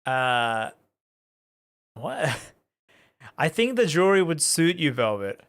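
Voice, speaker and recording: Casting: male gaming streamer, fairly high voice, very expressive, chatty off-the-cuff commentary. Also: takes it slowly, smooth. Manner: chatty, amused. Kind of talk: casual commentary on a game.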